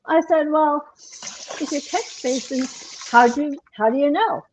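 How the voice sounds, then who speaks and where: A woman's voice: sits high, moderate pace, slight background noise, low-quality recording. A woman guest calling into a live stream, telling a story animatedly and laughing as she goes. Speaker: guest caller. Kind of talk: casual storytelling.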